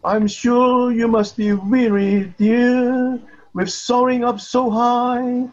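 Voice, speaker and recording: A woman's voice: a little high, little pitch movement, takes it slowly. A woman voicing a sly predatory spider in a storybook poem, wheedling and falsely polite, on a dull recording. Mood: sly coaxing. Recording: dull lo-fi audio.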